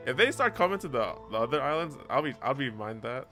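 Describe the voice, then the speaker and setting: A man's voice: mid-range voice, sing-song, fast, sharp tone. A man doing casual gameplay commentary as a streamer, thinking aloud in an offhand, slangy way. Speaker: gaming streamer. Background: game music.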